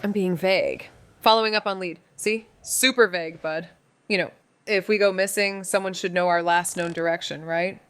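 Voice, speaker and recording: Actress as a low-voiced, breezy young female sleuth. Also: steady tone, moderate pace, clear, resonant tone, natural voice. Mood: breezy, teasing. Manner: casual, wry, teasing. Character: eager, teasing young woman amateur detective, bold and breezy. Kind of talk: scripted audio drama dialogue. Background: background music.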